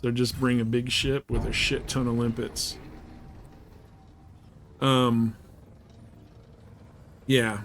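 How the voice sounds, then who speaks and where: A man's voice: medium pitch, level tone, slow pace, pauses often, a bit bright, slight background noise. A man livestreaming a game, rambling casually and slangily as he plays and explains things. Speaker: game streamer. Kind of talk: casual live gameplay chat and commentary.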